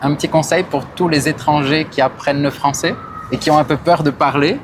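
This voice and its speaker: A man's voice: a little high, steady tone, brisk, noisy background. A man working as an interviewer and French teacher, putting short, encouraging questions to people. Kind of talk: street interview with short questions and follow-ups.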